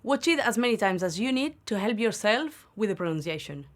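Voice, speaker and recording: A woman hosting a beginner Spanish lesson, saying each word slowly and clearly, then repeating it for learners. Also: mid-range voice, very animated. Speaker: Spanish teacher, channel host.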